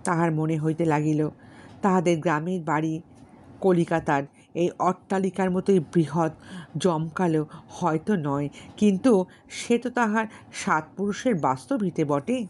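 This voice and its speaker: Slow-paced woman narrating a novel. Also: sits low, a little mellow. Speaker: audiobook narrator. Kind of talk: scripted literary story narration.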